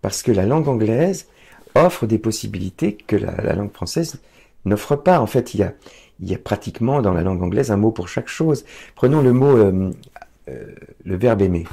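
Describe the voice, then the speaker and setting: A man's voice: fairly low pitch, lively intonation, normal speed, creaky, warm tone, slightly rough. A man conducting a conversational interview, explaining ideas expressively with examples.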